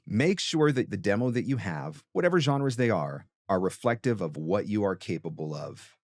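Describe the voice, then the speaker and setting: A man's voice: on the low side, animated, normal speed, a little mellow, no echo, studio quality. A man hosting a podcast, giving conversational advice emphatically, with rhetorical questions and frequent check-ins. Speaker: podcast host and voice-over coach.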